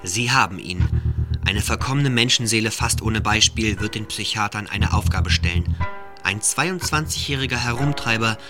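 An actor playing a stern official who formally reads out reports and commentary in a radio play. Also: male voice, lowish voice, expressive, normal speed, over music. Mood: stern, indignant. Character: stern official male reader of press commentary and prison reports.

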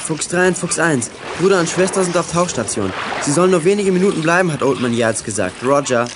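A male actor playing a level-headed boy detective in a children's radio play, speaking in crisp radio procedure. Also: fairly high pitch, animated, a little fast, background noise, close to an everyday voice. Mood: businesslike.

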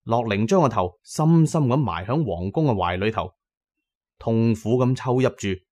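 A man's voice: mid-range voice, lively intonation, moderate pace, a little mellow. A man with a Cantonese accent narrating an adventure novel as scripted, dramatic storytelling. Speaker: audiobook narrator.

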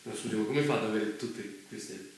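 An actor playing a wary, deferential guest in a dystopian stage drama, speaking haltingly and apologetically. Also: male voice, lowish voice, slight background noise, lightly acted. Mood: timid, apologetic. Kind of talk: Italian theatre dialogue. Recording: very echoey theatre performance.

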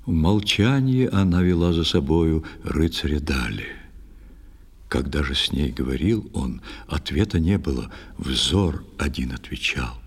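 A man narrating a poetic audio drama in verse with expressive, literary declamation. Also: rumbling low, measured pace, breathy edge.

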